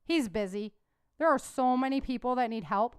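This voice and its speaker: A woman's voice: on the high side, lively intonation, moderate pace, clean tone, no room sound, studio quality, pitched above the performer's own voice, lightly acted. A woman voicing a chatty female teacher explaining the news.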